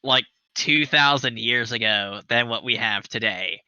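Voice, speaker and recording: A man's voice: medium pitch, measured pace, sharp tone, clean tone, no room sound. A man in his thirties hosting a livestream chat, talking eagerly and at length, with fillers and swearing for emphasis. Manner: enthusiastic, rambling, swears for emphasis. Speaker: show host. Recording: dry, slightly dull webcast audio.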